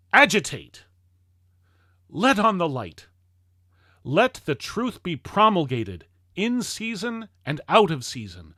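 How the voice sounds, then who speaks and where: A man reading an old-fashioned political address aloud in a formal, declamatory and emphatic manner with deliberate phrasing. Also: sits high, fairly bright tone, dry recording, clean studio sound. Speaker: reader of a historical political speech. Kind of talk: formal oratory, read-aloud 19th-century address.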